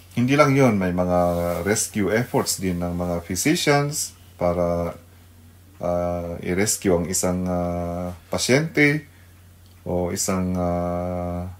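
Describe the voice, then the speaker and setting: Slow, low-voiced Filipino man, halting speech. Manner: halting, searching for words, mixes Tagalog and English. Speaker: radio devotional host, pastor. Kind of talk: unscripted devotional radio talk.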